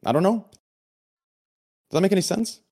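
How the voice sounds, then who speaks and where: A deep-voiced man chatting candidly as an interview guest, excitable and blunt, swearing casually and often checking that he is understood. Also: normal speed, vocal fry, slightly rough. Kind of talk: casual confessional chat.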